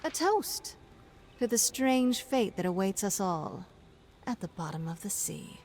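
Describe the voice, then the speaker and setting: An actress playing a self-assured pirate captain in a game, with a wry, teasing delivery. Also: female voice, mid-range voice, sing-song, unhurried, darkish tone, a little hiss or hum. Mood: wry, testing. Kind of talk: cinematic game acting.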